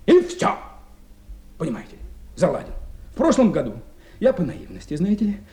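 High-pitched, animated, querulous working man. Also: moderate pace. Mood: querulous, mocking. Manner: querulous, stammering, peppered with officious filler phrases. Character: aggrieved, pompous working-class complainer, a pedantic petitioner. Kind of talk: 1970s Soviet radio drama acting. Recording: roomy old radio drama recording.

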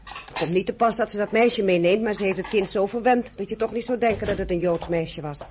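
A woman's voice: mid-range voice, a little fast, darkish tone, natural voice. A woman playing a jealous, scornful young daughter in a Dutch radio drama, curt and judgmental. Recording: noisy old radio drama recording.

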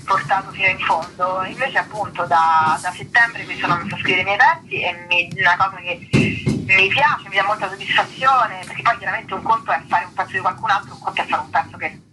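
A woman's voice: medium pitch, brisk, background noise. A woman speaking spontaneously as an interview guest, chatty and digressive, often rephrasing mid-sentence. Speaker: actress and writer, interview guest.

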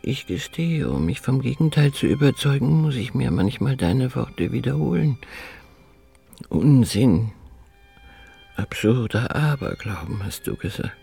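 An actress playing a lonely, tender elderly woman in a radio drama, musing in a hushed, intimate way. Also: rumbling low, animated, a little slow, creaky, breathy edge, faint music behind, exaggerated voice. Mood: wistful, tender. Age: older.

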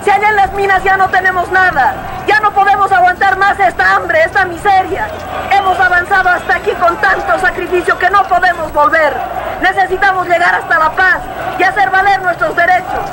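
A man's voice: very high-pitched, little pitch movement, brisk. A man playing a desperate, resolute miner on a protest march in a radio drama, pleading insistently. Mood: desperate, defiant. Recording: noisy outdoor crowd and vehicle sounds.

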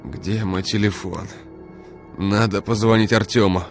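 A man's voice: fairly low pitch, fairly even intonation, measured pace, soft background music, pitched above the performer's own voice. A man doing a dazed, groaning young man. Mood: weak. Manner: weak and groaning, then firm.

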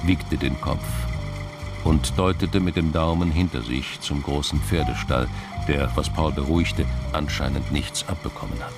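An actor serving as a sober narrator of a radio crime drama, reading literary prose in a measured, detached way. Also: male voice, extremely low pitch, loud music behind the voice.

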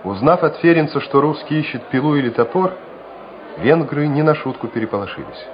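A man narrating a dramatic literary story for radio, measured and expressive. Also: fairly high pitch. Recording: muffled old radio recording, faint music.

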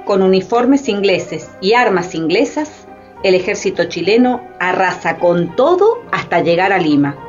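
A woman performing as a grave narrator in a historical radio play, with deliberate scripted delivery. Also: medium pitch, very animated. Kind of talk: scripted dramatized narration in Spanish. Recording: dull lo-fi radio play, faint music.

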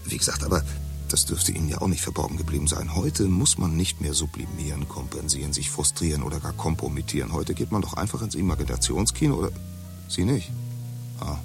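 A man's voice: extremely low pitch, lively intonation, brisk, creaky, music in the background, full character voice. An actor playing a talkative, condescending man in a futuristic society who lectures his drinking companion. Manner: talkative, condescending, full of jargon. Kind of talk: radio drama acting.

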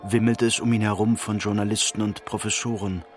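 A man reading literary narration for a radio drama in a measured storytelling manner. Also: on the low side, flat delivery, over music.

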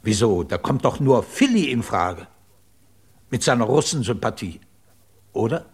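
An actor playing a proud, blunt head of a research institute in a 1950s German radio drama, with a husky voice and formal, emotional delivery. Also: male voice, medium pitch, sing-song, a little slow. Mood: probing.

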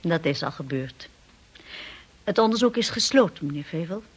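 An actress in a radio play playing an anxious young wife, with a breathy voice. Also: female voice, on the low side, expressive, normal speed. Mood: firm, nervous. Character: anxious young wife defending her husband.

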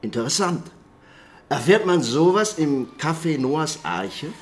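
A man's voice: a little high, animated, slow pace. An actor playing a defensive, self-righteous reformed businessman in a German radio crime drama, speaking pointedly. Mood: defensive, earnest. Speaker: former poultry tycoon.

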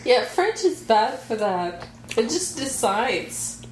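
A woman in casual chat, telling a travel anecdote in an unhurried way, often laughing. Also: a little high, very animated, a loud crowd. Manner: slow, storytelling, laughs often. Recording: roomy echo, faint background noise.

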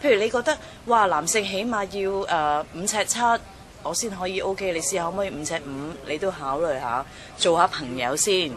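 Woman, Hong Kong Cantonese, slightly low pitch, colloquial explanatory chat, quick delivery, background chatter of people. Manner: colloquial, explanatory, gives hypothetical examples. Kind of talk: casual discussion of dating advice.

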